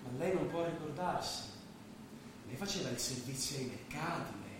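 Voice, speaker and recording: A defiant male refugee under siege as a man acts him on stage, high-pitched and declamatory. He is proud and desperate, heard from far off in a very echoey space with clear noise. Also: lively intonation, moderate pace, close to an everyday voice. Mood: proud defiance. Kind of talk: stage drama acting. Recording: echoey theatre stage, distant, noisy.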